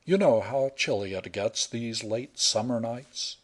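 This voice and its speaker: A man playing a calm, teasing young man. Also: mid-range voice, natural voice. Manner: unhurried, dry, wry.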